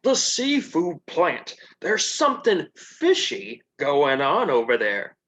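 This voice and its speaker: A comedian voicing a lovable old dog owner in a comedy radio play, with a folksy, drawling manner. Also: male voice, on the high side, wildly swooping pitch, takes it slowly, a bit bright, full character voice.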